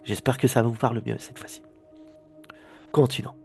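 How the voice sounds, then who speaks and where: A man's voice: medium pitch, fairly even intonation, normal speed, creaky, a little mellow, faint music behind. A man with a head cold giving a recorded spiritual lecture, emphatic and often restarting his phrases. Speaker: spiritual teacher.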